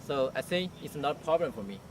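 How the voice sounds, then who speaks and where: A man's voice: mid-range voice, expressive, quick pace. A young male university student, a native Chinese speaker, giving hesitant prepared answers with many fillers. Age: young adult. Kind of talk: prepared answers for a language project. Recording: distant mic, street noise behind.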